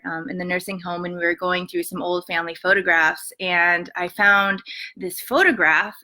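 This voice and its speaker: A woman poet reading her poems aloud and talking softly between them, with many ums, on what sounds like a home webcam mic. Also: fairly low pitch, rough recording. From Montana and western Colorado, USA. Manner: soft-spoken, many ums, measured reading. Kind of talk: poetry reading with spoken introductions.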